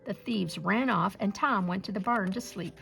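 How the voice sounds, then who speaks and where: A woman reads a children's fairy tale aloud, in a gentle storybook manner. Her voice carries heavy vocal fry and sits at a middle pitch. Soft music plays faintly behind her. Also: moderate pace.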